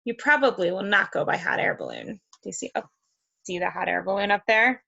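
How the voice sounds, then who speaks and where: A woman reading a picture book aloud to young children, expressive and encouraging, with conversational asides. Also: medium pitch, a little fast, creaky, lo-fi sound. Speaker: read-aloud host for children.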